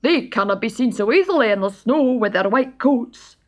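A woman puts on a wild pony in a storybook, high and lively in pitch. The pony sounds surprised and amused, exclaiming as it explains. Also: moderate pace, lo-fi sound. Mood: amused surprise.

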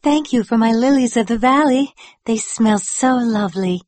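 A man's voice: very high-pitched, normal speed, dry recording, voice pushed up. A man voicing a sweet, conventional young fiancée in a girlish, airy audiobook voice. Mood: gushing. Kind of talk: audiobook character voice.

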